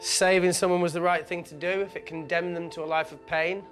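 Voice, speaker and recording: An actor playing a wry, blunt scientist under pressure in a film thriller, with a dry, wisecracking manner. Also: male voice, fairly high pitch, flat delivery, a little fast, a bit bright, soft background music, close to an everyday voice. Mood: bitter.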